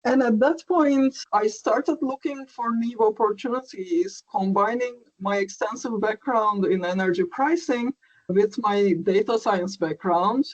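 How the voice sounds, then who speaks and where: A woman, a tech executive, introducing her background in a measured, orderly way during an interview. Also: medium pitch, dull audio. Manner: measured, resume-like self-introduction. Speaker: startup CTO guest. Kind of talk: business interview answers.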